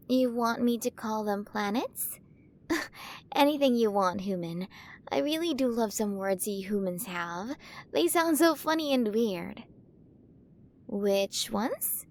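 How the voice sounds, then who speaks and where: A woman playing a towering alien queen in an ASMR roleplay, cooing to the listener with doting, possessive curiosity about tiny humans. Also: medium pitch. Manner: cooing, fascinated, quaintly mispronouncing human words.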